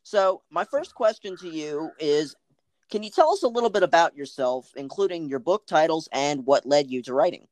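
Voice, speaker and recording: A man's voice: on the high side, expressive, normal speed, darkish tone, no echo. A man hosting a podcast, reading a formal introduction and then asking interview questions. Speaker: podcast host.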